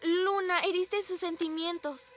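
High, sensible little girl hero. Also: fairly even intonation, unhurried. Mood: earnest, reasoning. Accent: Latin American. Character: six-year-old schoolgirl superhero, sensible and self-assured. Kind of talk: children's cartoon dub.

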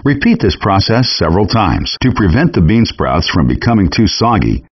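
Male game chef voice, low and a bit husky, calm instructional read, muffled lo-fi game audio. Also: moderate pace. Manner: calm, even instructional delivery. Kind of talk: scripted recipe instructions. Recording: dull lo-fi game audio rip.

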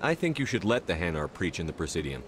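A man's voice: sits low, sing-song, fast. A man playing a direct, assured military commander in a video game. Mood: firm. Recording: loud ambient background noise.

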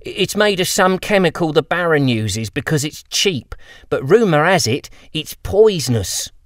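A man's voice: a little high, sing-song, moderate pace, dry recording, studio quality, pitched above the performer's own voice. A man voicing a grubby, cheeky young lamplighter boy with a chirpy, slangy way of talking.

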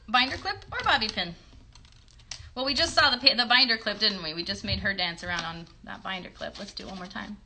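A woman's voice: medium pitch, bright, forceful tone, a little hiss or hum. A woman in her thirties casually hosting a children's craft demonstration, encouraging young viewers with questions. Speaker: children's puppet show host. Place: bedroom at home. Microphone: phone at about arm's length.

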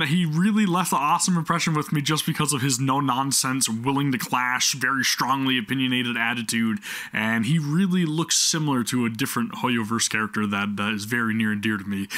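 Bright, upbeat man. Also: on the high side. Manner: upbeat, enthusiastic, chatty. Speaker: YouTube reaction host. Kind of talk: casual reaction commentary. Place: small home gaming room, indoors. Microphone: broadcast microphone on boom arm, close.

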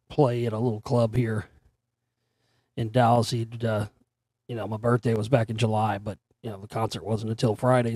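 A middle-aged man hosting his own show, his voice hoarse and still recovering, rambling casually with fillers and the odd curse word. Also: mid-range voice, a little mellow, no echo, clean studio sound. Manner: rambling, conversational, frequent fillers and mild swearing. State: hoarse, voice recovering after straining it. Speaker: radio show host. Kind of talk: casual solo talk show monologue.

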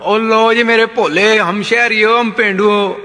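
An actor with a Punjabi accent playing a jovial, shameless, blind village politician in a satirical comedy sketch, folksy and teasing. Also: male voice, high voice, fairly even intonation, a little slow, pushed and bright. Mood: boisterous, boastful. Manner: folksy, teasing, peppered with interjections. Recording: long hall reverb.

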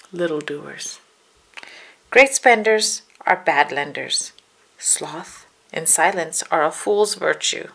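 Creaky woman reading a storybook. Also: medium pitch, fairly bright tone. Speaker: children's read-aloud narrator. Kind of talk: storybook narration.

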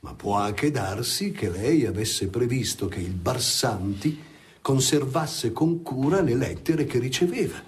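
A man acts out an accused gardener in a radio drama. He argues point by point, deliberate and reasoned, in a mid-pitched voice. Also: lively intonation, natural voice. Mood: coolly accusing. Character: accused gardener, sharp male defendant arguing his case. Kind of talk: Italian radio drama acting.

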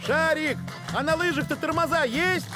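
Актёр озвучивает дружелюбного и любопытного гостя-отпускника в мультфильме, говорит вежливо, часто с вопросом. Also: male voice, very high-pitched, normal speed. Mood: alarmed. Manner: polite, eager, questioning. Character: friendly, curious male celebrity visitor on holiday. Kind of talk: animated cartoon voice acting. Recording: music behind the voice.

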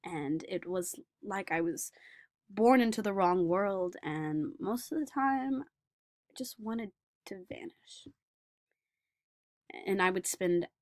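A woman playing a shy, socially awkward male savant in a dramatic monologue, halting and repeating words. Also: mid-range voice, dry recording, clean studio sound, natural voice. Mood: lonely, hushed. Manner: halting, repeating words, swinging from whispers to nervous outbursts. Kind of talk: dramatic monologue for a speech competition.

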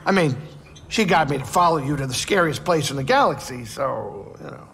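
An actor playing a gruff, nervous, wisecracking non-human male starship pilot in a video game. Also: medium pitch, expressive, normal speed, a bit bright, big-room sound, a little hiss or hum. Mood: chatty. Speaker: ship pilot. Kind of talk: video game cutscene acting.

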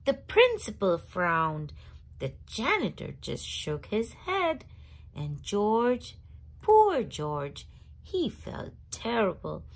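Female storybook reader, unhurried and expressive, husky edge, stretches key words for children. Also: mid-range voice.

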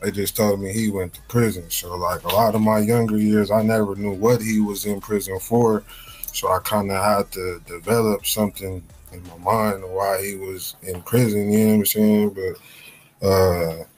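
A man in his early thirties with a very low voice speaks in a relaxed, slang-filled storytelling way. He is talking over a webcam call from a room at home, as a guest on a podcast. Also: moderate pace, poor audio. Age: 30s. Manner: laid-back slang-heavy storytelling. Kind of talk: casual interview.

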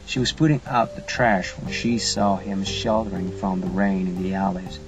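A man narrates an audiobook drama in a low voice with a heavy creak. The delivery is scripted and dramatic. The sound is a little dull, with music clearly playing behind him. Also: fairly even intonation, moderate pace. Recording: muffled recording with music behind.

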